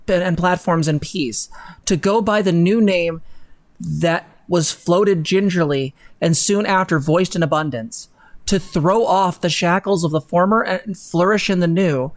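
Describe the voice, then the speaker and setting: A young adult co-host reads an article aloud for a livestream, slipping in asides on the text. The sound is dry, with very little room echo. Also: mid-range voice. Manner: breaks off to comment on the text. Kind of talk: dramatic reading with asides. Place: indoor room, livestream set-up.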